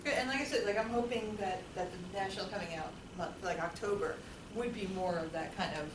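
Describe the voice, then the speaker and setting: A woman leading a casual group discussion, chatty and quick to laugh, recorded from across a meeting room. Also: mid-range voice. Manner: chatty, self-deprecating, laughs easily. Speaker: group organizer. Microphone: room mic from a distance. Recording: echoey room, distant, noisy.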